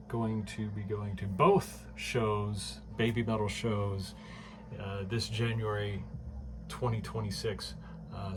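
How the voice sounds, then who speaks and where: A man with a fairly low voice chats excitedly into his phone in a home kitchen, filling the gaps with "uh" and "um" and breaking into laughs. His talk is casual and loose, like a home vlog. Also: background noise. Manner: chatty and excitable, many fillers, laughs often. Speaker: vlogger. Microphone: phone camera.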